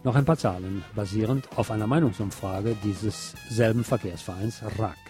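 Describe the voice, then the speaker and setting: A man's voice: on the low side, normal speed, mellow, soft background music. A man presenting local news on the radio in a scripted reading style.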